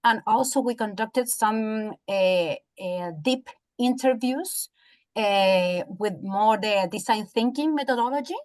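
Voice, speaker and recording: A woman from Chile with a Chilean accent and native Spanish, giving halting feedback as a university educator on a video call, searching for words. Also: fairly high pitch. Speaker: university educator, audience member commenting. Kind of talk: spoken feedback in an online academic discussion.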